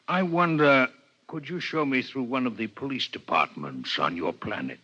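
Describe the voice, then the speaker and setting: An actor playing a courteous alien doctor in a 1950s radio drama, speaking formally and precisely. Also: male voice, medium pitch, expressive, normal speed, sharp tone. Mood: curious, polite. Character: courteous six-legged alien doctor visiting Earth, male. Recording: dull vintage 1950s radio recording.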